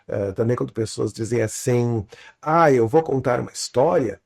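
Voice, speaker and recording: A man in an interview explaining storytelling with examples, speaking Portuguese learned in southern Brazil with a foreign accent and an animated teaching manner. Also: medium pitch, moderate pace, creaky. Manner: animated teacher, drops to quiet asides, tags lines with "tá?". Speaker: storytelling consultant, guest.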